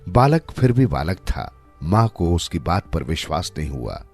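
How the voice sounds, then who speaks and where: A man with a low-pitched voice tells moral stories from a script. His delivery is measured and expressive, with lively swings in intonation, and faint music plays underneath. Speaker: storyteller narrator. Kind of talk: scripted narration of moral tales.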